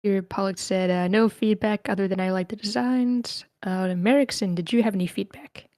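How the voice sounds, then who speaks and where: A woman's voice: mid-range voice, steady tone, moderate pace, darkish tone, dry recording, studio quality. A woman casually hosting an online writers' group, calling on people and reading comments aloud. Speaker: host moderating a writers' feedback session. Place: live online meeting room.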